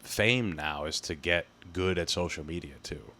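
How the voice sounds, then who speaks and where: A man's voice: low voice, a little slow, pushed and bright. A young adult man hosting a podcast, chatting in a laid-back way and laughing easily. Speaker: podcast host. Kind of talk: casual chat.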